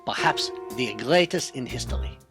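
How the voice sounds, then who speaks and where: An actor playing a clever, courteous, self-assured detective with a French accent and a formal, theatrical way of explaining, in an old-time radio mystery style. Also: male voice, medium pitch, animated, normal speed, over music, close to an everyday voice. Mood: confident, explaining.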